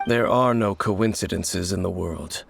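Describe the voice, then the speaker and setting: A grave, gravelly wanderer. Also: male voice, deep voice, a little hiss or hum, full character voice. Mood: solemn. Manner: measured, cryptic, solemn. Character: mysterious grave male wanderer who hunts the Abyss. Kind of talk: video game voice acting. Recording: game audio with loud music.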